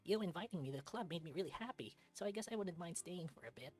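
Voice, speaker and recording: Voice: mid-range voice, expressive, fast, darkish tone, faint music behind, pitched above the performer's own voice, natural voice. A performer voicing a friendly, agreeable young male canine student in a visual novel.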